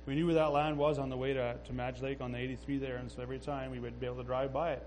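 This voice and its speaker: A man's voice: medium pitch, level tone, racing, darkish tone, soft background music. A man leading a church sharing time, affirming speakers with amens and inviting the next one up. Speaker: pastor leading testimonies. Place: church service.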